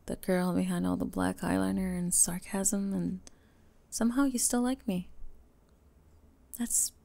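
A woman voicing a teenage goth bully with a secretly tender, flustered side, in an ASMR romance roleplay full of giggles and hushed confessions. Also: mid-range voice, steady tone, measured pace. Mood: vulnerable, tender. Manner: breathy giggles, hushed confessions, playful sarcasm.

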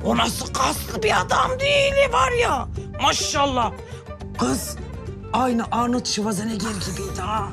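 A chatty gossiping auntie. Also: female voice, high-pitched, lively intonation, measured pace, gravelly, music in the background, exaggerated voice. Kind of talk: TV comedy drama acting.

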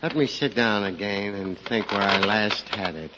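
An actor playing a lazy, gentle, henpecked farmer, hesitant and mildly droll, in 1940s radio comedy style. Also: male voice, fairly low pitch, moderate pace, natural voice. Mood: sluggish, reluctant. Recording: noisy, muffled 1940s radio recording.

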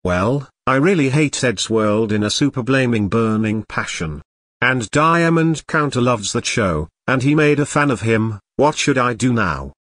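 A man's voice: mid-range voice, expressive, unhurried, a bit bright, no echo, studio quality. A man voicing a bratty young boy who rants and insults in a cartoon. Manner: whiny, insulting ranting. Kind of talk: cartoon grounded-video dialogue.

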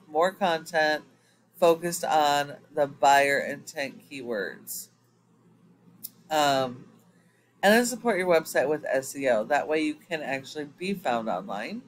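A man's voice: fairly high pitch, fairly bright tone, slight background noise. A man giving a screen-recorded website audit as an SEO consultant, explaining step by step with frequent ums.

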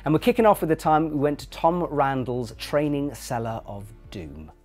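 A man hosting a climbing show and introducing films in a brisk, upbeat way. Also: a little high, expressive, loud music behind the voice. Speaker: show host. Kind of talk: scripted presenting.

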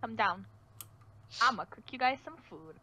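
A woman's voice: fairly high pitch, expressive, moderate pace, sharp tone. A woman playing a feisty, defensive young survivor in an amateur game roleplay, speaking casually. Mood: friendly. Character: feisty, short young female survivor who cooks. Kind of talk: amateur Minecraft roleplay voice acting.

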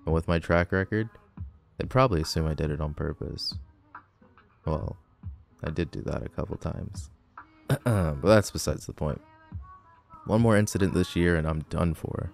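A man's voice: a bass voice, moderate pace, gravelly, long pauses, darkish tone, faint music behind. A man playing a cocky, grumpy tall teenage delinquent boy in an audio roleplay, sarcastic, teasing and dismissive. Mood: irritated, mocking. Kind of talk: boyfriend ASMR audio roleplay.

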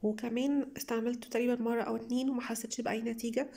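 A young woman with an Egyptian Arabic accent, slightly hoarse from a cold, chatting enthusiastically to viewers as she reviews beauty products at home. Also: on the high side, flat delivery, racing, dark tone. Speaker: beauty vlogger. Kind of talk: casual product haul review.

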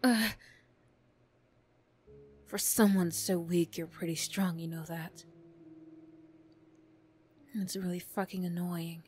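A woman voicing a wounded, bitter young bully girl, defensive and vulnerable, in a halting ASMR roleplay style. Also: fairly low pitch, fairly even intonation, darkish tone, a little hiss or hum. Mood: angry, flustered. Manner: halting, swearing, emotional, slow delivery.

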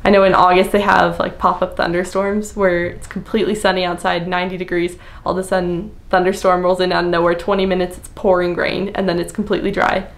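A young woman from the United States chatting casually to the camera in a small room, relaxed and full of "like" fillers. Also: fairly low pitch, fast, few pauses. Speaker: vlogger. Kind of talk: casual talking-head vlog. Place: small indoor room with plain wall.

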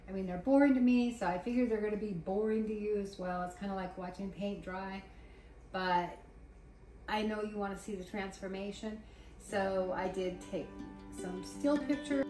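A woman's voice: mid-range voice, a little mellow, a little hiss or hum. A middle-aged to older woman chatting casually and conversationally as she narrates a DIY vlog. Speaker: vlogger, DIY cabin builder. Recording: roomy echo, music behind.

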